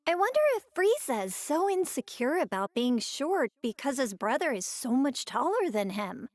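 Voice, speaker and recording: A woman's voice: high-pitched, very animated, moderate pace, no echo, studio quality. An actress voicing a sweet, curious young woman in an anime game, musing in a good-natured way. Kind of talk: scripted game voice lines.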